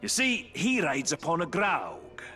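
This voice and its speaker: An actor playing a gruff, hearty dwarf hunter in a video game cutscene, blustering and animated. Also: male voice, a little high, a little slow, soft background music, heavily acted. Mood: hearty banter.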